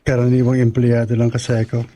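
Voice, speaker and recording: A man playing a sincere, poor young boyfriend in an audio drama. He talks meekly in a flat, mellow, mid-range voice, with faint noise underneath. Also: medium pitch, quick pace, close to an everyday voice. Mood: humble. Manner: meek, sincere. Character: humble poor young male suitor, sincere, self-deprecating. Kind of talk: radio drama acting.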